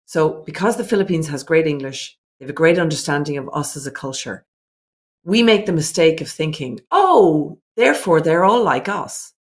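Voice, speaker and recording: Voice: medium pitch, lively intonation, moderate pace. Earnest guest laying out numbered points. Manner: earnest, reflective, lays out points in order. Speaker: business owner guest. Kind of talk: interview answers.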